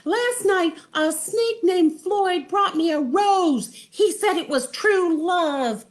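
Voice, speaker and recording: A woman voicing a dramatic young female rabbit who was turned into a snake, with a shrill, indignant voice. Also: slow pace. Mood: woeful.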